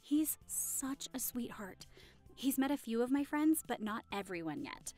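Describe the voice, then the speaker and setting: A woman's voice: a little high, animated, measured pace, dark tone, soft background music. A woman playing a friendly, affectionate young hostess in an audio roleplay, chatting cheerfully and reassuringly to the listener. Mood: chatty, reassuring. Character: friendly, affectionate young woman hosting a Halloween costume party.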